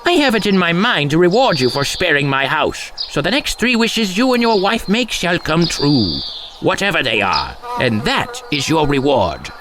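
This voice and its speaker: A man's voice: a little high, very animated, moderate pace, background noise, pitched above the performer's own voice. A man voicing a little old bearded male fairy, peppery and self-important, in a storybook tale. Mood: gracious. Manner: indignant, scolding, then grandly granting.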